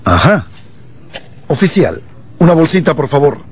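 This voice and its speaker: Lively, brisk police inspector. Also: male voice, fairly high pitch, slightly rough, heavily acted. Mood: businesslike. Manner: businesslike, giving orders. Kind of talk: radio drama acting.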